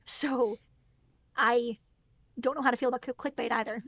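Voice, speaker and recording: A woman with a fairly high voice talks through creator advice in an earnest, candid way. She laughs easily. The recording is dry and close. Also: steady tone, moderate pace, a bit bright, dry recording. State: losing her voice. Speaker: guest content creator. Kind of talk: casual podcast conversation.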